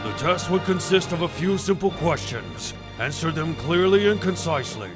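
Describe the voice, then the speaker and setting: A man acting a stern, formal courtroom judge in a game fandub, speaking solemnly and deliberately. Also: a little high, animated, music in the background, close to an everyday voice. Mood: solemn, authoritative.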